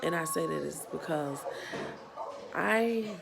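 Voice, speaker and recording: A woman in her thirties with a Southern US accent, speaking earnestly and haltingly as a parent who runs a nonprofit, her voice a bit worn. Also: sits low, creaky. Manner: slow, earnest, halting with pauses and restarts. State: losing her voice. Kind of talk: personal vlog testimony.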